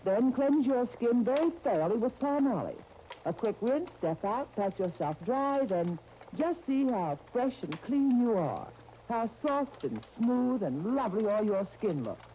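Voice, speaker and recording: A woman's voice: fairly high pitch, very animated, a little slow, dark tone. A woman announcer reading a persuasive 1930s radio advertisement, coaxing and intimate in her direct address. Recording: dull, noisy 1939 radio disc.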